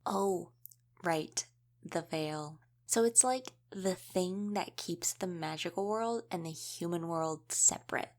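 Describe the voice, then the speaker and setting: A woman's voice: fairly low pitch, slow pace, a little mellow. A woman playing an easygoing young female wolf shifter in a casual audio roleplay, chatting and explaining things informally. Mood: relaxed explaining.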